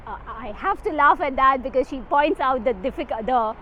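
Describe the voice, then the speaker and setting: A woman's voice: high-pitched, expressive, normal speed. A woman with an Indian accent giving reflective, slightly hesitant answers in an interview. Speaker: psychotherapist, conference participant. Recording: muffled sound with clear background noise.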